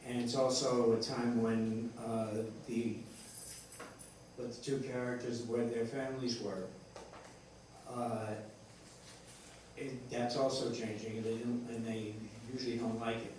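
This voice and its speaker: Echoey, halting, flat-toned man. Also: medium pitch. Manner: halting, searching for words, self-correcting. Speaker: playwright discussing his play. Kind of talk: rambling post-show discussion. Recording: echoey room, distant mic, faint noise.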